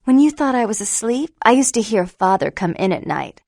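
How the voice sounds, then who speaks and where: High, girlish, taunting vampire vixen. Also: big pitch swings, a little fast, vocal fry, a little mellow, no echo, studio quality, exaggerated voice.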